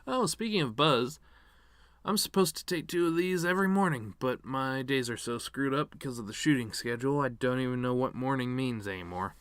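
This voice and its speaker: A man's voice: mid-range voice, a little fast, fairly bright tone, smooth, dry recording, natural voice. A man performing a dramatic monologue as a bitter, regretful actor giving his mother's eulogy, with sardonic, rambling asides.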